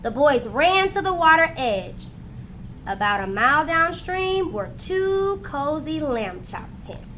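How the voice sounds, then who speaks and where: Woman storyteller for young children, very lively intonation, high voice, some background noise. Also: slow pace. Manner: expressive, dramatic emphasis for young listeners. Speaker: teacher reading aloud to students. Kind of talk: animated children's storybook narration.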